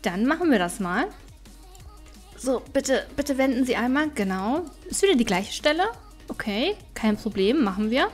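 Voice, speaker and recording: A woman with a slightly high voice commentates casually on a video game. She chats and thinks aloud as she goes, in the easy style of a let's play. Soft game music plays behind her. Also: lively intonation, moderate pace. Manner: chatty, thinking aloud to herself and viewers. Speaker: gaming YouTuber.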